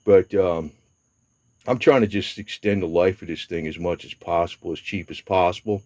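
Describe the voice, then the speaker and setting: An older man in his seventies rambles through a casual video update, full of fillers, restarts and mild swearing. His voice sits very low. The sound is dry, with almost no room echo. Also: normal speed, darkish tone. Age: 70s. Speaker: motorcycle vlogger. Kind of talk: casual rambling talk to camera.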